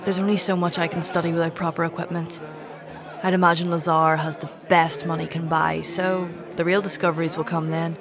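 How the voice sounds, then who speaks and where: An actress playing a guarded, scholarly alchemist in an audio drama, speaking in a dry, precise, understated way. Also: female voice, fairly low pitch, flat delivery, brisk, natural voice. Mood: dry, technical. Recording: busy crowd and music behind.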